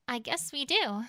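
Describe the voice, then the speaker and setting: Woman doing an earnest young mare, bright voice, super close and dry, bit anxious, audiobook cartoon pony. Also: sits high, no room sound, heavily acted. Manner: earnest, expressive. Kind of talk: dramatized audiobook character acting.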